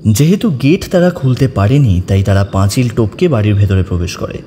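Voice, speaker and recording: Male horror audiobook narrator; warm, relaxed timbre, expressive intonation, long echoing tail. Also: fairly low pitch, a little fast, a little hiss or hum. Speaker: story narrator. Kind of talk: dramatic scripted narration of a ghost story.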